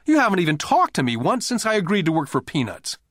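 An aggrieved little boy, acted out by a man in an audiobook. The voice is pushed high and swings widely as he pleads and accuses in a tearful, defiant way. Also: wildly swooping pitch, fast talker, fairly bright tone, no room sound, clean studio sound, exaggerated voice. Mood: indignant. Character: indignant nine-year-old boy, tearful, defiant, aggrieved.

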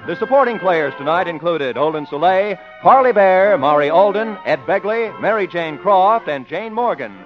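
A man's voice: high-pitched, expressive, moderate pace, few pauses. An American man working as a radio announcer, reading 1950s commercials and credits in an emphatic, polished delivery. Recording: dull old radio broadcast with music.

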